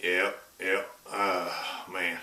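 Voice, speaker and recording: Guy putting on a slow-talking oklahoma country fella remembering old tornadoes, lowish voice, echoey room. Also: flat delivery, bright, forceful tone, full character voice. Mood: reminiscing. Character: rural Oklahoma man swapping tornado stories. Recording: roomy echo.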